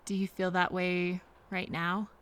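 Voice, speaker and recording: A woman playing a kind, apologetic train passenger in an intimate audio roleplay, speaking softly and hesitantly to reassure a frightened stranger. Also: fairly low pitch, level tone, clear, resonant tone, lightly acted. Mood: soothing. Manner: gentle, hesitant, reassuring, pausing for replies.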